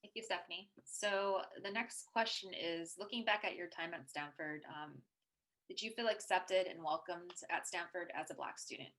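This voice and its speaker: Woman moderator, lowish voice, measured, asks the questions on a video call. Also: a bit bright. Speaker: panel moderator.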